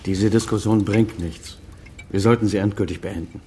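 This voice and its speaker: A man's voice: fairly low pitch, fairly even intonation, moderate pace, warm tone, a little hiss or hum, close to an everyday voice. Proud, defensive older doctor played by a man. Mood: firm, dismissive. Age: middle-aged or older, over fifty. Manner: formal, articulate, self-justifying. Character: proud, defensive senior doctor and estranged father. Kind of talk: German radio drama dialogue.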